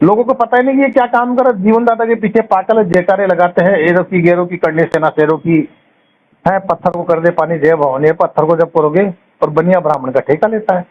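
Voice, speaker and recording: A man's voice: high voice, a little fast. A man talking informally on the phone, giving a long accusatory account in a blunt, scornful, colloquial way with rhetorical questions. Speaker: caller giving his account. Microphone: telephone. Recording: muffled phone call recording, clear noise.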